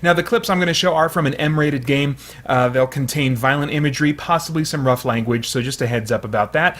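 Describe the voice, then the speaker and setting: A man's voice: on the high side, a little fast, a bit bright. A man hosting a channel, giving casual, partly read commentary in a hesitant, thoughtful, explanatory way.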